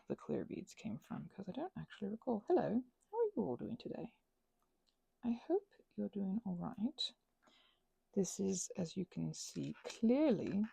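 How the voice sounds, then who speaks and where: A woman's voice: on the low side, gravelly, mellow, husky, no echo. A woman chatting casually in an ASMR craft ramble, whimsically talking to herself and often drifting into whispers.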